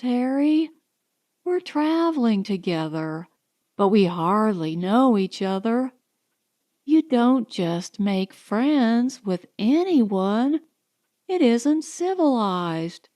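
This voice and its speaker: Woman playing a high-pitched, gushing, haughty young socialite. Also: lively intonation, very slow, mellow, dry recording, clean studio sound. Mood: coaxing, haughty. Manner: gushing, affected, condescending. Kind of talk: audiobook character dialogue.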